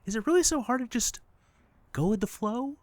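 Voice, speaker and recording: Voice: mid-range voice, lively intonation, fairly quick, darkish tone, dry recording, close to an everyday voice. An actor playing an uncannily cheerful entity that poses as a best friend, overly friendly, coy and needling, in an audio drama. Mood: mock hurt.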